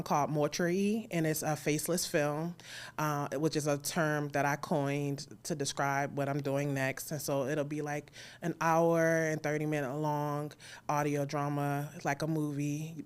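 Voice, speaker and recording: A woman speaking casually and candidly as an interview guest, informal and quick to laugh. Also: low-pitched, little pitch movement, clean tone. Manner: candid, informal, laughs easily while talking. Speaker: interview guest, audio drama creator and sound designer. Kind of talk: casual conversational interview at a live event.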